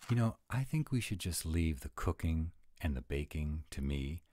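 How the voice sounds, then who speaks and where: Man acting a very deep-voiced, grumpy were-dragon. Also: sing-song, darkish tone, no room sound, studio quality, full character voice. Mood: fussy, cooperative. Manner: fussy, exasperated, drawn-out emphatic phrasing. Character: grumpy, rule-bound male were-dragon, exasperated tsundere boyfriend. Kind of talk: roleplay audio acting.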